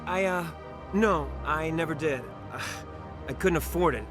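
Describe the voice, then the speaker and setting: High-voiced, flustered young private, acted by a man. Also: a little slow, music in the background, close to an everyday voice. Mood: nervous, flustered. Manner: hesitant, stammering. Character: nervous, flustered young male army private. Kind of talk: video game dialogue.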